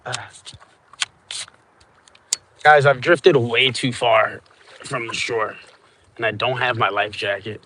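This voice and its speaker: A man's voice: medium pitch, fairly even intonation, slow pace, long pauses, bright, forceful tone, slight background noise. A Cuban man livestreaming from a small boat out on open water, rambling and swearing while chatting with his viewers through his phone. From Cuba. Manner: profane, rambling, stoned banter with viewers.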